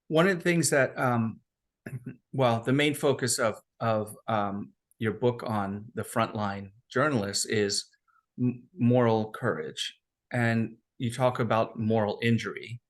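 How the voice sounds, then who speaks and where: A man's voice: mid-range voice, takes it slowly. A man hosting a remote interview, asking long questions with hesitant restarts. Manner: hesitant, frequent fillers and restarts. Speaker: interview host.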